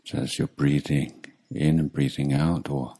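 A man leading a guided meditation as a teacher, speaking calmly in short, measured phrases with long pauses. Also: rumbling low. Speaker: Buddhist monk meditation teacher.

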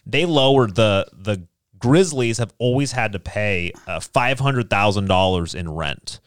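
A man's voice: on the low side, animated, unhurried, clear, resonant tone, no echo, clean studio sound. A man in a casual group chat, explaining things in a conversational way as a talk show panelist. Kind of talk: casual group chat about local sports.

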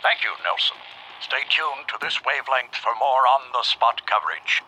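Formal 1920s radio anchor, noisy broadcast. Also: male voice, medium pitch, animated. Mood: formal, brisk. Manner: formal, measured, solemn. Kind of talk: 1920s radio news announcing.

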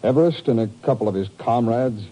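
Man playing bitter, dark-toned turncoat agent. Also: mid-range voice, fast talker, close to an everyday voice, poor audio. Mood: bitter regret. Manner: agitated, self-mocking. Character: bitter, fearful, disillusioned American turncoat agent. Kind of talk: 1950s radio drama acting. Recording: old radio recording, faint noise.

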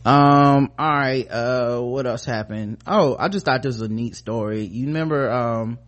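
An American man co-hosting a podcast, casually talking through the news and reading bits of articles in a halting, informal way. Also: medium pitch. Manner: informal, halting, often trailing off mid-thought. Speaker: podcast co-host.

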